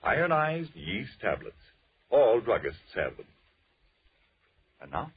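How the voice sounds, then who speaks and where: A man announcing in 1940s radio style, formal, emphatic and measured. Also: mid-range voice, wildly swooping pitch, husky. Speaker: radio announcer and sponsor pitchman. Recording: muffled old radio recording.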